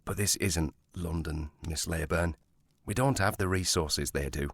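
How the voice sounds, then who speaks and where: A man's voice: sits low, measured pace, creaky, slightly rough, no room sound, studio quality, heavily acted. A man playing a courteous, cautious provincial police sergeant with formal speech in a period audio drama. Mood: resigned, courteous.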